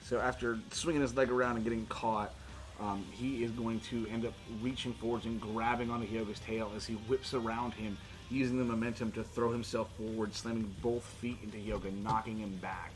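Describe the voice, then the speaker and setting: A man's voice: lowish voice, little pitch movement, a little fast, low-quality recording. A man acting as game master, casually narrating tabletop fight scenes in informal, sweary language. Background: guitar music.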